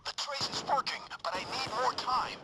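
Game handler: commanding heist boss, raised pitch, urgent directions to his crew, heavy gunfire behind. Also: moderate pace, noisy background. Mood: urgent, directing. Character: commanding male heist mastermind guiding his criminal crew. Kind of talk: video game mission radio chatter. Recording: loud gunfire over the voice.

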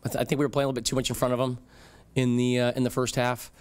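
A middle-aged man, a football head coach, speaking in a measured, reflective way at a postgame press conference podium. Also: medium pitch, little pitch movement, clean tone. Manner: measured, reflective, frequent fillers. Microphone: podium microphone.

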